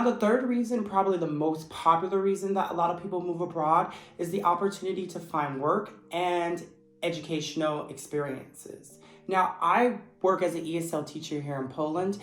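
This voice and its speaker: A man who teaches English, giving a steady, earnest explanation in vlog style. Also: a little high. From United States. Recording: echoey room with faint music. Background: faint background music.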